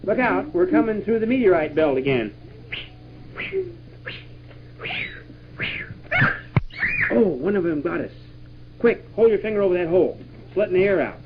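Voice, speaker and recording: An excitable astronaut commander acted out by a man in a homemade radio play, with a high voice that swoops widely. He exclaims and hands out urgent orders. The sound is a muffled home tape from the 1960s. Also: quick pace, background noise. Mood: urgent, alarmed. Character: excitable male astronaut commander leading a moon trip. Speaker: father playing with his children. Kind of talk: improvised pretend radio play.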